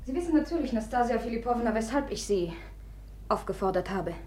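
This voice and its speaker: A woman's voice: fairly high pitch, lively intonation, a little fast, a little mellow, close to an everyday voice. An actress playing a proud, agitated young noblewoman in a classic radio drama, speaking in a commanding, formal, accusatory way. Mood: accusing, bitter.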